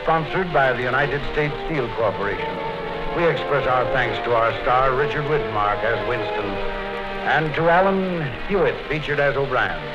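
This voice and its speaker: A man's voice: mid-range voice, animated, normal speed. A man making a formal radio announcement of credits. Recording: dull 1950s radio broadcast over loud music.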